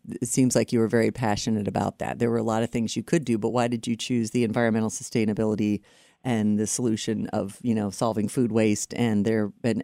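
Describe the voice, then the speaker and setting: A woman's voice: very deep voice, warm tone, no echo, studio quality. A woman hosting a radio interview in a conversational broadcast style. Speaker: radio show host.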